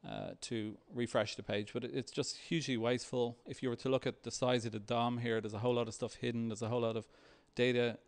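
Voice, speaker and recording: A man presents software at a tech meetup in a mid-pitched voice with noticeable creak. His delivery is halting and hesitant, in the style of a developer walking an audience through a demo. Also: little pitch movement. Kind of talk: conference talk with live demo.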